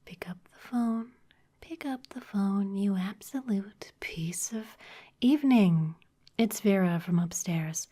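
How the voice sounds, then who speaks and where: A woman voicing a sly, intimidating female vampire executive in a close, breathy ASMR whisper, polished and teasing. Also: fairly low pitch, dark tone. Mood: irritated, then composed. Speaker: ASMR performer.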